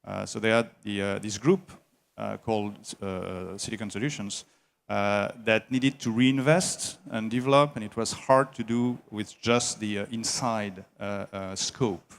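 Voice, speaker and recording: A man heading an engineering services company, answering interview questions at a trade show in a slow, halting way with many filler sounds. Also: lowish voice, fairly even intonation, creaky.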